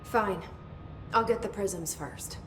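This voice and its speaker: An actress playing a determined, plain-spoken young director in a video game, direct, guarded and understated. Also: female voice, medium pitch, slow, a bit bright. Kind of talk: video game dialogue acting. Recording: echoey room, faint background noise.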